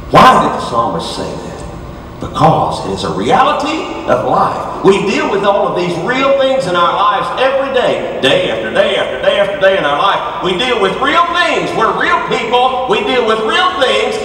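Older preacher booming through an echoey hall. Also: male voice, recorded from a distance, a little hiss or hum. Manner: swings from hushed low lines to shouted high peaks; moves about the stage gesturing while preaching. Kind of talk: sermon. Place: large dark auditorium stage.

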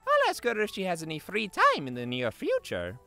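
A man's voice: sits high, wildly swooping pitch, a little fast, creaky, bright, forceful tone, soft background music, heavily acted. A man voicing a cheerful, good-natured plumber hero who hosts a cartoon fan dub show in a friendly, teasing way. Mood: musing. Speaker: show host.

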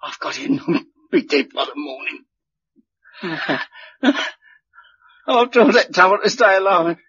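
A dying man in a radio play, feverish and bitter. His husky, forceful voice strains and drags slowly, close and dry. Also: high-pitched, lively intonation, no room sound, muffled recording, full character voice. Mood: anguished, delirious. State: gasping, crying, laughing feverishly. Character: dying man, desperate, bitterly laughing, warning others away. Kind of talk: radio drama acting.

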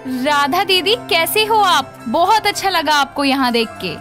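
A woman's voice: very high-pitched, animated, unhurried, fairly bright tone, soft background music, exaggerated voice. A woman voicing a sweet, polite, conciliatory young daughter-in-law in a cartoon. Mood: welcoming. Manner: polite, placating.

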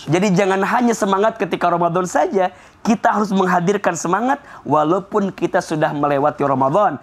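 Energetic man preaching on a TV set. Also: sits high, a little fast. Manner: energetic, emphatic, enumerating points. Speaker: Islamic preacher on a TV show. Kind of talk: television sermon. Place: TV studio set.

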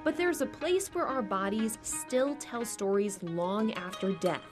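A woman news reporter reading a scripted voice-over in a measured, deliberate way. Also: on the high side. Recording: voice-over with music bed.

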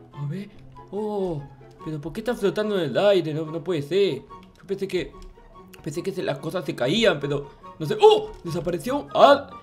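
A performer playing a naive, excitable boy in a Minecraft roleplay skit, stammering and repeating words with sudden exclamations. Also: medium pitch, natural voice. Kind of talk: improvised gaming roleplay skit. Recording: music behind the voice.

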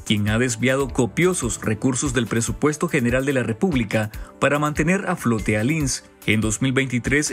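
A man reads a news report in a steady, formal voice-over at a middle pitch. Faint music runs under his narration. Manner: steady, formal read delivery.

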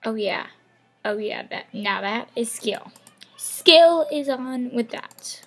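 A woman's voice: fairly high pitch, animated, slow, breathy edge, soft background music. A young woman losing her voice, chatting casually over gameplay with rambling, half-whispered asides from a webcam at home. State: losing her voice, sore throat. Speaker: gaming channel host. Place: home room.

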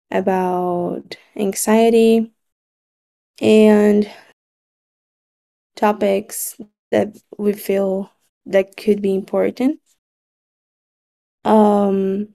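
A Brazilian-accented woman, Portuguese by birth tongue, facilitates a meeting over a video call. Her voice is mellow and soft-edged, and she speaks slowly and deliberately. She pauses often as she lays out the points. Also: mid-range voice. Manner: measured, slightly halting with frequent pauses. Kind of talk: online meeting recap.

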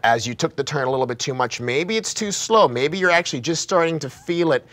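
A man running a tabletop game, narrating the action in a halting, descriptive way. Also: on the high side, expressive, fairly bright tone. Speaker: game master. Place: studio set at a table.

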